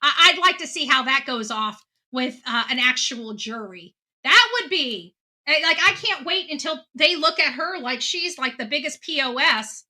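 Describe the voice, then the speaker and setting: A woman's voice: sits high, animated, normal speed, pushed and bright. A woman in her forties giving impassioned, indignant off-the-cuff commentary, stressing points by repeating phrases. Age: 40s. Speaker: online commentator hosting a true-crime show.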